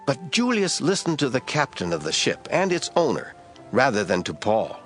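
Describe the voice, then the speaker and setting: A man's voice: medium pitch, very animated. Man narrating a dramatic scripture reading. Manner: measured storytelling. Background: soft music score.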